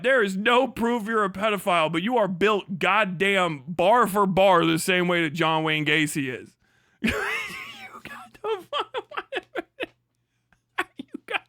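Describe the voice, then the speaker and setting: A young man hosting a live stream, tearing into people with crude, mocking jokes and frequent laughter. His voice sits very high and swings widely in pitch. The sound is bright and dry, with no room echo. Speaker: livestream host. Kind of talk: profane live reaction commentary.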